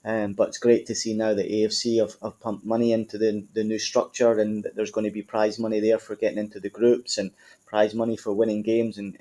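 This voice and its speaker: Scottish club manager, thirties, mellow tone, chatty interview answers. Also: male voice, lowish voice. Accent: Scottish, Edinburgh. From Scotland. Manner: conversational, frequent ums and you-knows. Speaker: football club general manager. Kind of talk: podcast interview.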